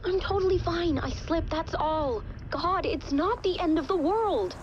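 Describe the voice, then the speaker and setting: An exasperated teenage daughter brushes off worry defensively. The voice is high and comes through a dull, slightly noisy call in a game drama. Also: female voice, a little slow. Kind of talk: video game drama acting. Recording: dull, lo-fi call with background noise.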